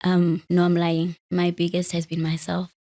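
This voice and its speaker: A woman's voice: lowish voice, completely flat delivery, moderate pace, warm tone. Candid woman in her late thirties. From Free State, South Africa. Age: late 30s. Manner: open, self-deprecating, laughs easily. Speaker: podcast host, interviewed guest. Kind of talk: candid conversational interview.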